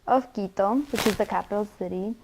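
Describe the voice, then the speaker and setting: A woman's voice: medium pitch, steady tone, brisk, a little mellow, a little hiss or hum, low-quality recording. Chatty young woman from Ecuador. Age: young adult. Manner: conversational, with frequent "you know". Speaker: college student interviewee. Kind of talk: casual interview answers.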